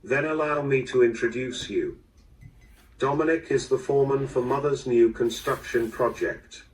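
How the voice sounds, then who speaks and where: A man's voice: mid-range voice, moderate pace, natural voice. An actor playing a composed, proper man of a wealthy family in a mystery audio drama, speaking formally and politely. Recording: distant, played through a small speaker.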